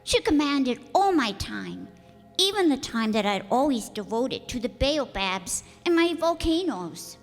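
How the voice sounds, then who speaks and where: A woman plays a small, innocent prince in a radio drama, earnest and wistful. The voice is high and very expressive in its rise and fall, with hall reverb around it. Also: unhurried, full character voice. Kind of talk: theatrical radio play acting. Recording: echoey hall with music bed.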